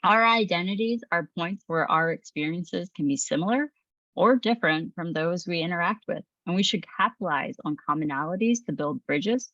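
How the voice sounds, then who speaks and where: Calm woman, muffled dry narration. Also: fairly low pitch, no echo. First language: English. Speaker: presenter. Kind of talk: scripted training narration.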